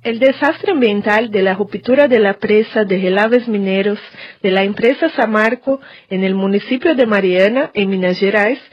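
A woman correspondent reading a formal radio news report in a steady manner. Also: mid-range voice, normal speed, no echo. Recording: dry, dull-toned studio recording.

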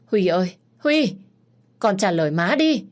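A woman voicing a desperate mother who is the second wife of a landowner, pleading in a shrill voice near tears. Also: fast talker, close to an everyday voice. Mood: frantic pleading.

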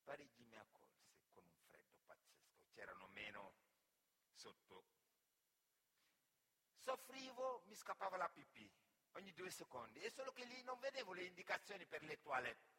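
Animated storyteller, bright forceful male voice. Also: a little high, husky. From Senegal. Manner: animated, acts out dialogue, addresses the audience. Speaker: actor and griot storyteller. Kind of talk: theatrical autobiographical monologue.

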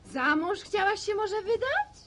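A high, lively young woman chats away in a radio serial. She sounds natural and talkative. The recording is noisy, with outdoor ambience around her. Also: measured pace, exaggerated voice. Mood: teasing, curious. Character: lively chatty young woman visiting her home village. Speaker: radio drama actress. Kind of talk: Polish radio serial acting, natural dialogue.

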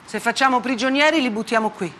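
A man's voice: extremely high pitch, fast talker, pushed and bright, slight background noise, voice pushed up. A woman performer voicing a hard, self-righteous male army colonel, blunt and accusatory, in a dramatic stage monologue. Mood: cold, boastful. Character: hard, defiant Russian army colonel in Chechnya. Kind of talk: dramatic monologue radio theatre.

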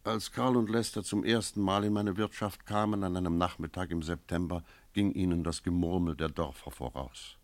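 An actor playing a brooding village innkeeper, narrating his memories in a measured, lyrical, solemn manner for a radio play. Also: low voice, steady tone, no room sound. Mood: ominous.

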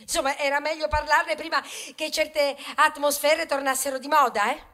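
A woman's voice: high voice, fairly even intonation, moderate pace, bright, forceful tone. An actress playing a proud, aggrieved male grain of wheat in a satirical stage monologue, theatrical and plaintive, swelling into declamation and confiding in asides. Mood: sheepish, defensive. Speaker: stage actor performing a monologue. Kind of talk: theatrical satirical monologue, rhetorical, with bursts of song.

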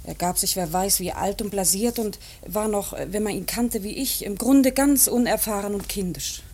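An actress playing an earnest, troubled young woman in grief, halting and intense, in a German radio play. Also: medium pitch, a little mellow, husky. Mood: regretful. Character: earnest, troubled grieving daughter and sister.

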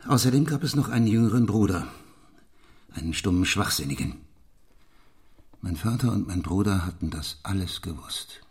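An actor in a German radio play playing a bitter, brooding middle-aged English gentleman who confesses his past in an intense, deliberate way. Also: sits low, expressive. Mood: bitter, agitated. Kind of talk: German radio play acting, dramatic monologue.

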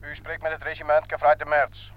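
Radio play role: male army officer, played by an actor, commanding, highish voice, dry muffled recording. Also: monotone, fairly quick, lightly acted. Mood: urgent, dictating. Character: commanding male officer dictating military orders. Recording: dull lo-fi old radio play.

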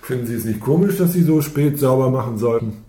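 A man's voice: mid-range voice, expressive, a little fast, dark tone, lightly acted. An actor playing a brusque, impatient male police detective who questions methodically in a radio crime drama. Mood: probing. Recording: echoey room with some noise.

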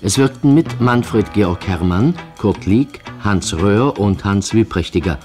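A male radio announcer reads credits formally. His voice is fairly low and very slow, with faint music behind him. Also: expressive, a little mellow. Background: guitar-like music.

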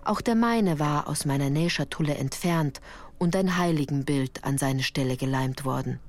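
An actress playing a sensitive woman recalling her youth, narrating a literary radio drama in a measured, reflective way. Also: deep voice, darkish tone, natural voice. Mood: reflective, uneasy.

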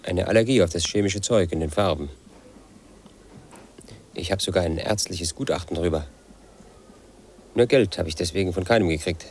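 A brooding, resentful house painter in his late thirties in a radio drama. His voice sits very low as he broods over old grudges in a bitter monologue. A faint hiss runs under the recording. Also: moderate pace, long pauses. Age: late 30s. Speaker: protagonist narrating his life.

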